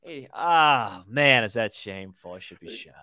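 A young man co-hosting a sports podcast in casual chat, halting and repeating words as he thinks. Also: medium pitch, expressive, pushed and bright. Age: young adult. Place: small indoor room on a video call. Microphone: close podcast microphone with headphones.

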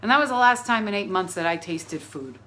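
An actress plays a betrayed middle-aged wife delivering a theatrical comic monologue. Her voice is bright and forceful at a medium pitch. She is emphatic and indignant, wounded underneath a bitterly sarcastic bite. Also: animated, fairly quick. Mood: bitter, wry.

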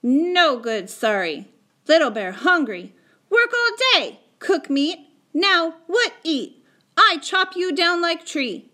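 A woman's voice: very high-pitched, very animated, slow pace, bright, forceful tone, pitched above the performer's own voice, exaggerated voice. A woman voicing a proud, angry tiny male warrior in an audiobook, speaking in clipped, broken sentences. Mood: angry, demanding. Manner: clipped broken sentences without small words. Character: proud, angry tiny Native warrior man, fierce and demanding. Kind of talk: acted audiobook dialogue.

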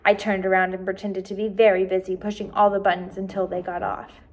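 A woman in her twenties reading a children's book aloud with expressive, clearly enunciated, emphatic phrasing for young listeners. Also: medium pitch, a little fast. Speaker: first grade teacher reading aloud.

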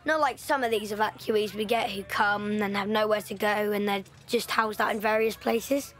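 A woman's voice: mid-range voice, steady tone, moderate pace, a bit bright, natural voice. An actress playing a thoughtful young girl evacuated from London, telling her story in a reflective way. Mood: recalling. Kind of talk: audio drama acting.

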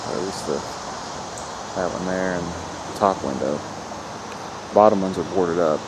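A man with a Kentucky accent narrating his exploration slowly and hesitantly, recorded on a handheld camera outdoors in overgrown fields. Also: low-pitched. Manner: slow, hesitant, with fillers. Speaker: urban explorer vlogger. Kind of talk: casual vlog narration. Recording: outdoor camera audio with clear background noise.

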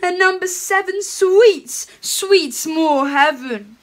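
A young woman playing a jolly, chatty Father Christmas reading a storybook aloud at home, her voice pushed lower in a playful put-on. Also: slow pace. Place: home room with curtains.

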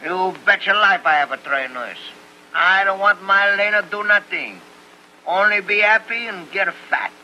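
An actor playing an excitable older immigrant farmer who speaks broken English with stammering exclamations, in old film acting style. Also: male voice, high-pitched, a little slow, a little hiss or hum, full character voice, poor audio. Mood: upset.

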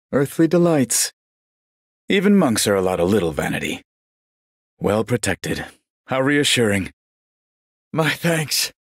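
Slow, husky old warrior monk. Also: male voice, medium pitch, dry recording, clean studio sound. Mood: wry, pleased. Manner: measured, courtly, wryly pious. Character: genial, vain old warrior monk, serene yet battle-ready. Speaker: video game voice actor. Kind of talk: scripted game character voice lines.

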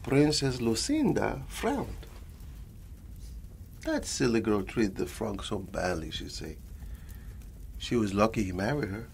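A man playing an affectionate old grandfather with a Latin American Spanish accent, softly telling a bedtime story in an ASMR roleplay, rambling and repeating endearments as he switches between Spanish and English. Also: lowish voice, sing-song, takes it slowly, gravelly, pauses often, slight background noise, exaggerated voice. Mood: patient, storytelling.

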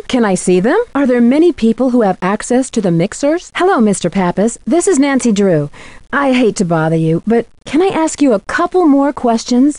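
A woman's voice: fairly high pitch, darkish tone, no echo. A woman playing a polite, determined young female detective in a video game, earnest and inquisitive.

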